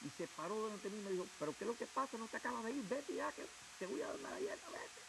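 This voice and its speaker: A man's voice: high-pitched, expressive, rapid-fire, creaky. A man with a Cuban Spanish accent, a guest giving emotional personal testimony in a television interview. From Cuba. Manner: emotional storytelling, acting out quoted officials. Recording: hissy TV broadcast audio.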